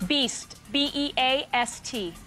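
A woman's voice: sits high, slow. A young woman from Ohio with an American accent, speaking as a game show contestant. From Ohio, United States. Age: young adult. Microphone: lapel mic. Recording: music and studio audience behind.

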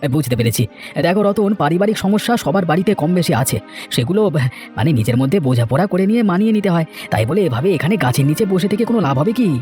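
Man acting a quick-talking sensible village elder brother. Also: a little high, big pitch swings, a little mellow, soft background music. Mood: concerned, advising. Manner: admonishing, reasoning. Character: sensible, advising village man, peaceable and kindly. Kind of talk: children's cartoon voice acting.

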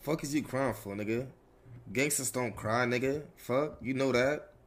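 A man's voice: mid-range voice, normal speed, natural voice. A man playing a cocky young gangster in an online role-play game, talking in slangy, sweary, joking street talk. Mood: brash, reassuring. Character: cocky young male gangster jailed, reassuring his woman.

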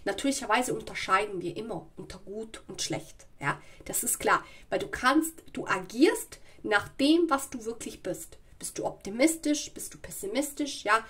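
Husky-voiced woman giving a tarot reading. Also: fairly high pitch, animated, a bit bright. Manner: slow, searching and explanatory, with frequent "ja" and "okay". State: slight cold. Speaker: tarot reader. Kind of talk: spoken tarot reading to viewers. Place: home room with a tarot table.

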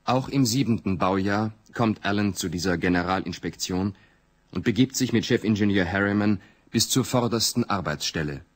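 A man with a husky voice reading scripted, report-like narration for a radio drama. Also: fairly low pitch, normal speed.

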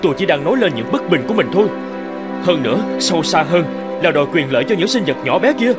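An actor playing a self-righteous young scholar in a cartoon folk tale, pompous and argumentative. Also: male voice, a little high, very animated, fast, over loud music. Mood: agitated. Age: young adult. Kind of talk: animated folk tale voice acting.

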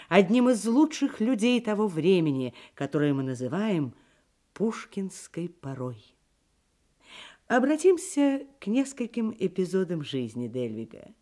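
A woman narrating a scripted literary radio programme, with deliberate, declamatory phrasing. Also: mid-range voice, mellow. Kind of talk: scripted radio documentary narration with verse reading.

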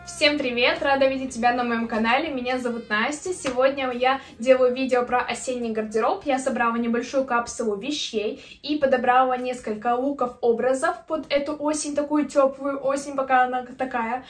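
A woman's voice: sits high, fairly even intonation, normal speed, hardly any pauses. A woman in her twenties, a fashion vlogger, chatting casually and upbeat about outfits, recorded indoors by a camera at medium distance. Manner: chatty, upbeat, frequent fillers. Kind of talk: casual outfit try-on chat. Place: indoor room with clothing racks. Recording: roomy echo with faint music.

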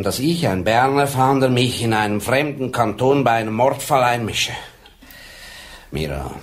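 An actor playing a gruff, blunt older police sergeant with a dry wit. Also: male voice, mid-range voice. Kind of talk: 1980s radio drama acting.

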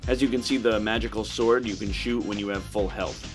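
A man's voice: on the low side, fairly even intonation, a little fast. A man giving casual, matter-of-fact commentary as he plays through a video game. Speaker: gaming video host. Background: game music.

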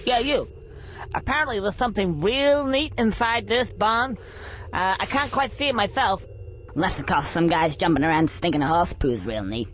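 Bright, cheeky cartoon squirrel. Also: male voice, sits high, expressive, moderate pace, a little hiss or hum, low-quality recording. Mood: wry. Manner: chirpy, mildly sarcastic. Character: cheeky, polite young male cartoon squirrel.

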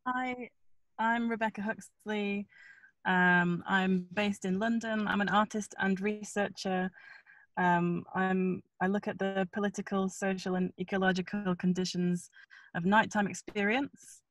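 A woman artist and researcher introducing herself quickly on a video call, with restarts. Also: mid-range voice, fairly even intonation, no room sound.

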